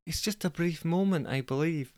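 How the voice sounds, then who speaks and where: High-pitched, grumbling Scottish girlfriend for a comic story, voiced by a man, long-suffering yet fond, close dry sound. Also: normal speed, no room sound, lightly acted. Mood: fretful, defensive. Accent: put-on wobbly Scottish.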